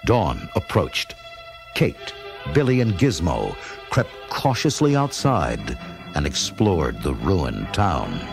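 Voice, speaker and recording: Animated low-voiced man narrating a storybook. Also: slow, gravelly. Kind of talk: dramatic scripted children's read-along narration. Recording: loud music and effects behind narration.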